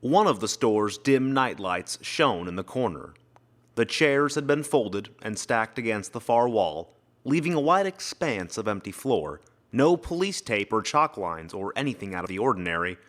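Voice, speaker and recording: A man's voice: fairly low pitch, very animated, normal speed, fairly bright tone. A man reading mystery fiction as a dramatic scripted narration. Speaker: audiobook reader, actor.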